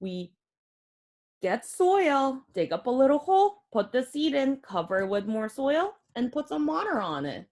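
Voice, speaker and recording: Slow, very expressive woman reading to children. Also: high-pitched. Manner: expressive, child-directed, with audible gasps and exclamations. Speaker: read-aloud teacher for young children. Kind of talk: picture-book read-aloud with simple explanatory asides.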